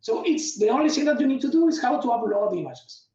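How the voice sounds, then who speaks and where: Man, excited tech demo talk, far from mic, very echoey, dull audio. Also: extremely high pitch, quick pace. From Argentina. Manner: enthusiastic, loose non-native phrasing. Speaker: conference speaker. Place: home room, streamed talk.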